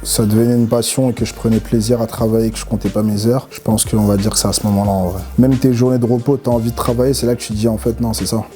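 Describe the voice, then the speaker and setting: A man's voice: fairly low pitch, quick pace, few pauses, soft background music. A young man, a stylist, chatting casually and humbly in an interview, with plenty of fillers and restarts. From Ghana and Nigeria heritage, raised in France. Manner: relaxed, humble, slangy French with many fillers and restarts. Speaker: stylist, guest. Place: barbershop.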